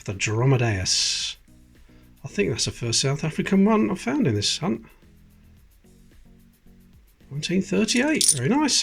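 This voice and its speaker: A man's voice: medium pitch, creaky, slightly rough. A British man hosting a coin collecting video, chatting casually and reading out dates as he sorts through coins at a table. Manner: chatty, reads out dates as he goes. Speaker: coin collecting channel host. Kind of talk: casual commentary while sorting coins. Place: indoors at a table. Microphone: close camera, hands-only framing.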